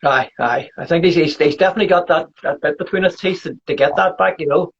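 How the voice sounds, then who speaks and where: A fast-talking Scottish man in his fifties with a high, husky voice, hosting a friendly chat. He is eager and quick to agree, and his sound is dull and muffled. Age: 50s. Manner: enthusiastic, quick to agree and laugh. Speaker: podcast host. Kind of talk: casual chat. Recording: muffled lo-fi audio.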